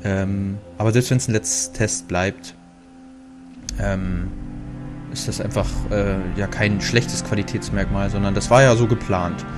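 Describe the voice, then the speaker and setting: A man's voice: on the low side, steady tone, unhurried. A man from Germany casually commenting on a game he is playing, rambling and breaking off with fillers as he talks through the menus. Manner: chatty, rambling, self-interrupting with fillers. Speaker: let's play host. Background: game music.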